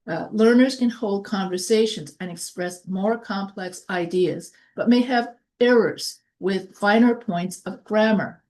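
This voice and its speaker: A woman giving a recorded college lecture. She reads her notes in a measured way, then explains things off the cuff with restarts and fillers. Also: mid-range voice, mellow. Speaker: college instructor.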